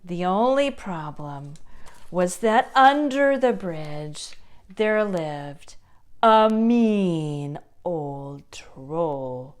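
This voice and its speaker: A woman telling a children's puppet-show story as narrator, with expressive, deliberate phrasing. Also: on the low side.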